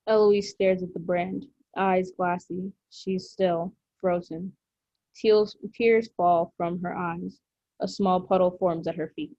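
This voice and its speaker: A woman narrates a drama script, reading out the stage directions. Her voice sits fairly low, and the recording is very dry with a dull, lo-fi sound. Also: fairly even intonation, slow, warm tone, no echo. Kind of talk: scripted narration at a table read. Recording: dry, muffled lo-fi audio.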